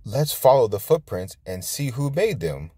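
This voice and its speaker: A man voicing a gentle, careful mother pig in a children's storybook. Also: mid-range voice, very animated, moderate pace, slightly rough, dry recording, studio quality, voice pushed up. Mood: hushed encouragement.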